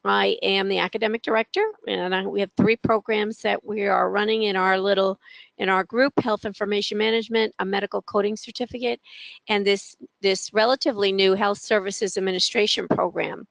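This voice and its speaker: A middle-aged woman speaks with a gravelly, creaky edge. She presents as an academic director in a webinar, hesitating with frequent ums. The sound is close and dry. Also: mid-range voice, steady tone, fairly quick, a bit bright, no echo, low-quality recording. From New York. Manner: hesitant, many ums and restarts.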